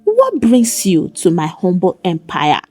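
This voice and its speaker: A woman's voice: fairly low pitch, wildly swooping pitch, measured pace, mellow, husky, soft background music, full character voice. A woman voicing a proud, sassy young seamstress in a folk tale, blunt and mocking. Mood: teasing.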